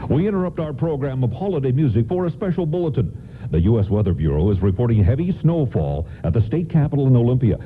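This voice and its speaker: A man's voice: mid-range voice, big pitch swings, fast, slight background noise, lightly acted. A man performing as a storytelling narrator and announcer in a scripted radio drama. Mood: formal, announcing. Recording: narrow phone-like radio sound.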